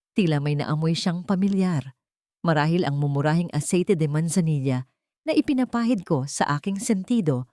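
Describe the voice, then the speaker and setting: A woman narrating a scripted first-person drama in a solemn manner, in short deliberate phrases. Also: fairly low pitch, warm tone, dry recording, clean studio sound.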